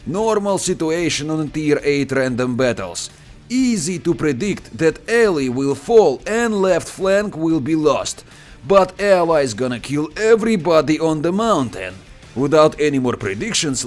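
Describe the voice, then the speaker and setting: Lively Russian-accented game commentator. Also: male voice. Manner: playful, joking, expressive with sudden pitch jumps. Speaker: gameplay commentator. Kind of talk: scripted game commentary voice-over.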